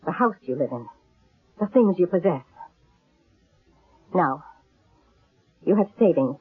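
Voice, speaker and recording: A woman's voice: lowish voice, expressive, slow, slightly rough, full character voice. An actress playing a shrewd, middle-aged female fortune-teller and con artist, commanding and portentous, in old-time radio drama style. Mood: solemn, commanding. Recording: thin, narrow-band old radio recording.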